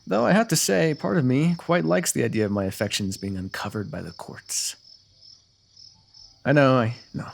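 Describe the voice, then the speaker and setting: A man's voice: medium pitch, moderate pace, creaky. A man playing a teasing, lovestruck royal ruler with courtly, formal phrasing in an ASMR fantasy roleplay. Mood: wry, wistful.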